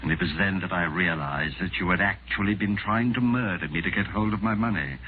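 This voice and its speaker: An actor playing a wronged, cunning man in a 1940s radio suspense drama, with a theatrical, deliberate delivery. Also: low voice, slight background noise, lightly acted. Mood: cool triumph. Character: wronged, cunning male patient feigning terror, then coolly triumphant. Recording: muffled, tinny 1940s radio recording.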